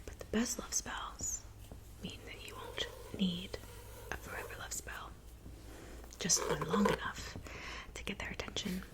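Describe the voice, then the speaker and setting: Whispering, slow-spoken woodland witch played by a woman. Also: medium pitch, fairly even intonation, lightly acted. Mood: calm, helpful. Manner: soft, unhurried, murmuring hums. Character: calm, helpful witch in a woodland cabin. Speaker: ASMR performer. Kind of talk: whispered ASMR roleplay.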